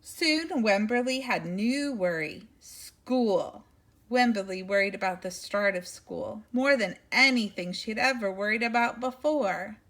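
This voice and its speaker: A woman's voice: mid-range voice, a little slow, a bit bright. A woman reading a picture book aloud expressively, like a teacher talking to young children, with asides to her listeners.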